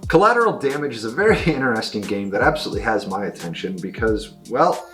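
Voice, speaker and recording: A man's voice: medium pitch, very animated, fast talker, fairly bright tone, soft background music. A middle-aged man giving a casual, enthusiastic and discursive review of new tabletop games as a store presenter. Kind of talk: casual product review talk to camera.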